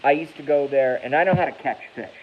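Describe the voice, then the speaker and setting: A man's voice: mid-range voice, quick pace. Man in his thirties, from Massachusetts, rambling rant with curse words, dull lo-fi sound, faint hiss. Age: 30s. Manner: rambling, profane, self-correcting. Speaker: YouTube streamer. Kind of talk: casual live-stream rant.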